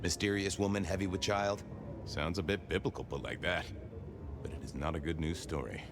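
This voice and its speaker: An actor playing a wry, inquisitive police detective in a video game, with a glib, sardonic way of talking and music behind him. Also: male voice, deep voice, fairly quick, noisy background. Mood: wry, probing. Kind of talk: video game dialogue acting.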